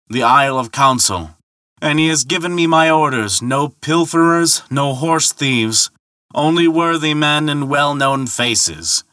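A man plays an insolent ferryman from an old myth. He drawls his taunts with scathing mockery and a sharp, forceful edge. The storytelling is dramatic and recorded dry. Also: medium pitch, dry recording, far from the mic. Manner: scathing, slow, mocking drawl.

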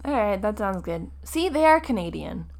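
A woman co-hosting a podcast, chatting casually about a movie with dry, relaxed, amused replies. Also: medium pitch, normal speed. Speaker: podcast co-host. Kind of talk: casual comedic movie review chat.

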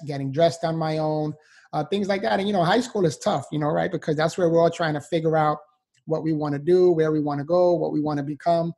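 Upbeat, mellow-voiced New man. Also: on the high side. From New York, USA. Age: 40s. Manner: earnest, upbeat, with light laughs and fillers. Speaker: motivational speaker guest. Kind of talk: interview storytelling.

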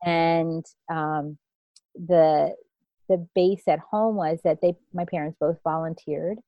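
A woman in her fifties from India tells her life story as an interview guest. Her voice sits a little low, and she winds through her memories with many restarts. The sound is close and dry. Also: steady tone, slow pace, dry recording, lo-fi sound. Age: 50s. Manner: reflective, rambling, with frequent restarts.

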